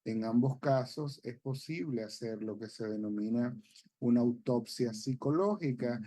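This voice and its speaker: A man with a Venezuelan accent, a psychologist guest giving measured explanations with frequent hesitations on a TV talk show. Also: medium pitch, warm tone. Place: TV studio.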